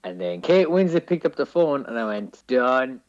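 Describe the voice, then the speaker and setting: A man's voice: a little high, sing-song, normal speed, warm tone, no echo, lo-fi sound. An Irish man in casual film-review chat as a podcast co-host, opinionated and sweary.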